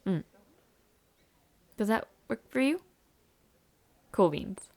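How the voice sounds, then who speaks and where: A young woman acting in an intimate audio roleplay as a warm, shy, playful university student, with a hesitant, giggly, softly teasing way of talking. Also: medium pitch, big pitch swings, slow pace, gravelly, exaggerated voice. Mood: brisk, friendly.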